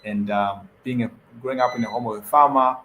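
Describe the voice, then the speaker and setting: A man's voice: mid-range voice, steady tone, fairly quick, fairly bright tone, slight background noise, rough recording. A young man from Zambia answering as a guest on a panel, hesitant, repeating words and using many fillers. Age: young adult.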